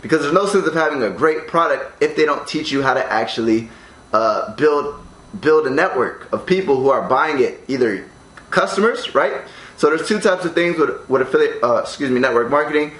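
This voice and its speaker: A young man with an American accent talks straight to camera, upbeat and casual, often tagging his points with "right?". His voice sits fairly high for a man. The bare condo room around him gives it a noticeable echo. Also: lively intonation, moderate pace, fairly bright tone. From United States. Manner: upbeat, keeps asking "right?". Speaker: online business vlogger. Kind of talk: casual direct-to-camera explainer.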